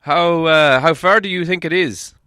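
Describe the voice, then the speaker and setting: A man's voice: a little high, normal speed, bright, forceful tone, clear, resonant tone, no room sound, pitched above the performer's own voice. Old dwarf leader, done by a man, stern, blunt, authoritative, emphatic delivery, storybook fantasy, dry recording. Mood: commanding.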